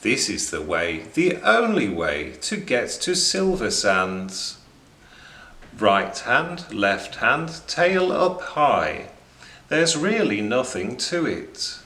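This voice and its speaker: A man's voice: medium pitch, sharp tone, reverberant, heavily acted. A man playing a confident female circus acrobat in a storybook, giving reassuring, encouraging instruction.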